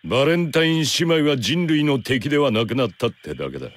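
A man plays a tough, curt bounty hunter from an anime game. He is gruff and blunt, rough-spoken, with a mid-pitched voice that moves with force up and down. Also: sing-song, fast talker, natural voice. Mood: curt, irritated. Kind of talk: Japanese anime game voice acting.

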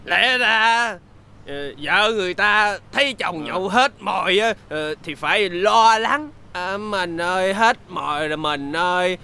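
An actor playing a boastful, bossy, tipsy village husband in a folk-tale comedy film. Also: male voice, sits high, a little slow, gravelly, pushed and bright, smooth, heavily acted. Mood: indignant. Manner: tipsy, blustering speech. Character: drunken, boastful village husband, generous to drinking buddies, bossy to wife.

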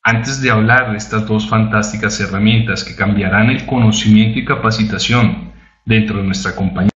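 A man's voice: fairly low pitch, fairly even intonation, moderate pace, a bit bright. A man from Colombia leading an online company presentation, upbeat and rallying, talking to the chat. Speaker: corporate department head. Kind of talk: webinar presentation. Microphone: computer mic on a web conference. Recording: roomy, dull web conference audio.

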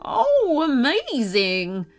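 A woman voicing a gentle, modest old grandpa inventor, wondering and kindly, in a children's story. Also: high voice, huge pitch swings, drawn-out words, voice pushed up, heavily acted. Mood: amazed.

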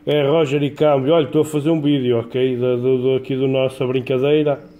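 A man with a European Portuguese accent chatting casually as an amateur radio operator, in a colloquial, halting way with repeated words. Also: medium pitch, fairly even intonation, phone-quality sound, noisy background. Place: indoors at a home radio desk.

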